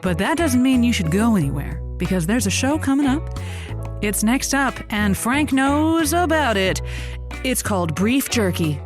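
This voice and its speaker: A woman's voice: a little high, big pitch swings, moderate pace, over music. An actress playing a folksy, scheming woman who hosts a lonely hearts radio show, with a theatrical, coaxing manner in a comedic audio drama. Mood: folksy sign-off. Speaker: radio call-in show host.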